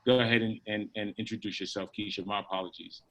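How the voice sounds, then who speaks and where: A man with a fairly low voice hosts a video-call panel. He reads introductions haltingly and apologizes when he stumbles over names. The sound carries the plain quality of a computer call. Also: steady tone, a bit bright, low-quality recording. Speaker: panel moderator.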